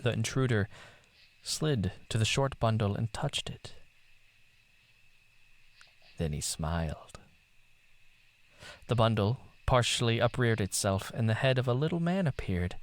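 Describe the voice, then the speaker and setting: A man narrating a literary story in a theatrical, deliberate manner. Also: mid-range voice, animated.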